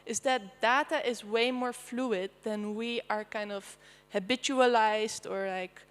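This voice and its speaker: A woman's voice: fairly high pitch, fairly even intonation, slow pace, clear, resonant tone. A woman from the Netherlands with a Dutch accent, presenting her artistic research at a panel in a loose, chatty way with many fillers. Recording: reverberant lecture hall.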